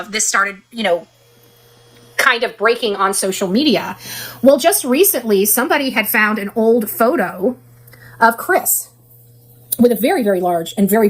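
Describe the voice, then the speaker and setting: A woman's voice: on the high side, fairly bright tone, low-quality recording. A woman hosting an online case commentary channel, speaking casually in a rambling, opinionated way with emphatic repetition. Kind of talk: casual true-crime case commentary livestream.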